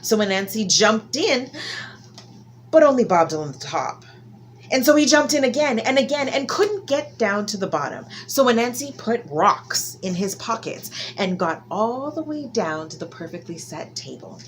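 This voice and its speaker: A woman telling a folktale to children in an expressive style, stretching key words for dramatic emphasis. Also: on the high side, normal speed, a little hiss or hum. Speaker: children's storyteller.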